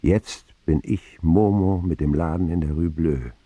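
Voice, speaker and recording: An actor playing a grown man recalling his boyhood, narrating a radio drama in a measured, reflective, understated way with a husky voice. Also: mellow, dry recording. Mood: reflective, subdued. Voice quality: very deep, husky, gravelly. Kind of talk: scripted first-person radio drama narration.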